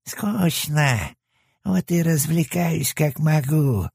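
A man's voice: a little high, expressive, drawn-out words, creaky, darkish tone, breathy edge, dry recording, clean studio sound, voice pushed up, full character voice. A man voicing the cheeky, crude left head of a three-headed hellhound, with a nasal, sleazy junkie's whine. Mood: sneering. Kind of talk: audiobook character voice.

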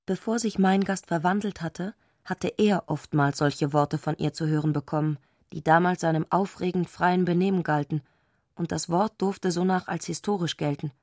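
A woman narrates a literary radio play. Her voice sits on the low side for a woman. The recording is dry, with no room around the words, and she reads as a scripted storyteller. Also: steady tone, moderate pace, a little mellow, dry recording.

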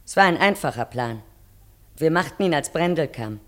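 A woman acting a weary, pleading working-class wife in a 1950s German radio drama, with earnest, deliberate phrasing. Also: low voice, expressive. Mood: weary, narrating. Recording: 1953 radio recording, faint music behind.

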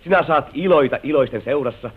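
A man's voice: a little high, close to an everyday voice. An actor playing a persuasive, impatient lover tempting a married woman, with coaxing, theatrical old radio drama delivery. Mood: ardent, persuasive. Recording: old muffled narrow-band radio recording.

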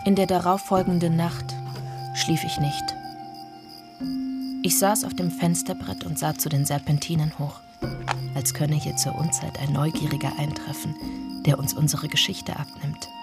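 Radio drama narrator: observant and brooding, measured literary delivery, loud music bed. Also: female voice, sits low, flat delivery. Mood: brooding, reflective. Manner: measured, descriptive storytelling. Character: observant, brooding village narrator recalling events.

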